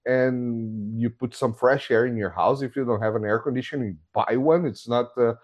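A man's voice: mid-range voice, moderate pace. Brazilian guy on a video call, blunt and fed up, keeps restarting sentences. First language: Portuguese. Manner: blunt, exasperated, many restarts. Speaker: guest commentator. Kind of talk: casual interview chat. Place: home, hot room.

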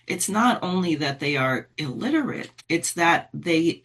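A middle-aged woman talks earnestly, working through her ideas aloud with frequent fillers. Her voice is bright and carries a husky edge. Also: sits low. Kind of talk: conversational political talk.

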